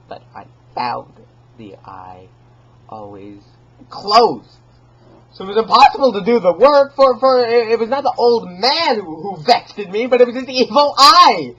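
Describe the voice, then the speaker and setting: A man's voice: sits high, animated, pitched above the performer's own voice, exaggerated voice. A manic, stammering gothic madman, lo-fi. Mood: boastful, intense, then frantic. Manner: halting, stammering, repeats words, swings from hushed to shrieking. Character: manic, stammering madman gleefully confessing his stalking of an old man. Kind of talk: dramatic reading of a gothic horror story. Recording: dull lo-fi home recording, faint noise.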